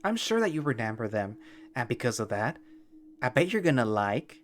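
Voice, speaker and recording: A man's voice: medium pitch, very animated, normal speed, slight background noise, close to an everyday voice. A man voicing a devoted, chipper male furry creature who calls someone master. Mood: eager. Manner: chipper, pleading.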